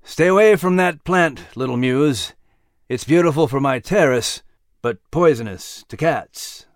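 A man voicing a gentle, grave male scholar of the ancient world, measured and reflective, in an audio story. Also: on the high side, dry recording, clean studio sound, close to an everyday voice. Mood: grumbling.

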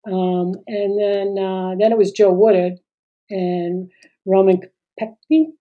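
A woman's voice: medium pitch, flat delivery, takes it slowly, narrow, tinny audio. A middle-aged woman co-hosting a podcast in casual chat, talking hesitantly with many fillers and trailing sentences. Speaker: podcast co-host.

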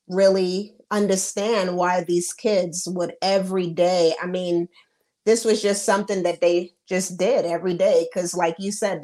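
Woman, Trinidadian accent, lower voice, earnest, guest on a faith chat. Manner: earnest, telling a personal story.

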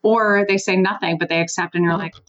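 Swiss-born woman coaching on sales, punchy and animated, a bit dull and lo-fi. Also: lowish voice, brisk. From Switzerland. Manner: emphatic, animated, casually profane. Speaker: sales consultant guest. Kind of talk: energetic advice-giving interview talk.

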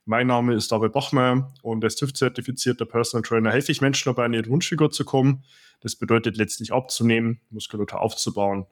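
A man hosting a podcast interview, speaking in long, wordy questions with fillers. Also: mid-range voice. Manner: wordy, many repeated "auch" and fillers. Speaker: podcast host, personal trainer.

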